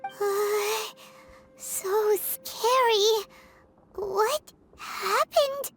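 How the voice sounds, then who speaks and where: A woman's voice: very high voice, drawn-out words, gravelly, over music, full character voice. A woman voicing a tiny, childlike fairy companion in a video game, curious and easily scared. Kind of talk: English game dub cutscene acting.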